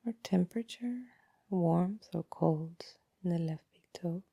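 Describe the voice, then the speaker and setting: A woman guides a body scan meditation in a lowish, husky voice, recorded close and dry. She is calm and unhurried, leaving long pauses between gentle instructions. Her soft, breathy delivery often drifts toward a whisper. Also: no echo.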